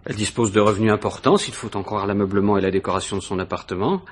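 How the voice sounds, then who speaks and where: An actor playing a probing, ironic police inspector in a 1950s-style French radio mystery, falsely casual and insistent, with hesitant, insinuating questions. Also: male voice, on the low side, fast talker, close to an everyday voice. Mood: measured, accusing.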